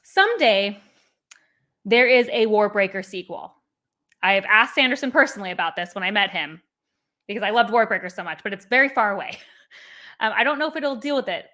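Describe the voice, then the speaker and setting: Bright-voiced chatty woman host. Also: medium pitch, animated, a little fast. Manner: chatty, rambling with many fillers and restarts. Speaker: booktube host. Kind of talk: casual book discussion.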